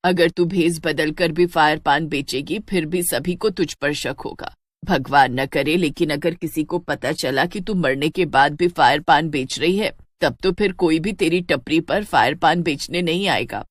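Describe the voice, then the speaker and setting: Elderly mother-in-law for animated tale, worried and loving, lowish pitch, dry sound woman performing. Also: a little slow, no echo. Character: anxious, loving old village mother-in-law.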